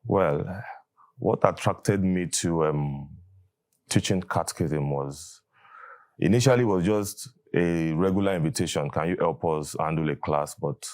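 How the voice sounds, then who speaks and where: A Nigerian man with a very deep, low voice, an Igbo speaker, appearing as an interview guest. He talks thoughtfully, pausing often and restarting his sentences as he works out his ideas. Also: darkish tone. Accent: Nigerian English. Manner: thoughtful, hesitant, with fillers and restarts. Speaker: guest, catechist. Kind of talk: conversational interview.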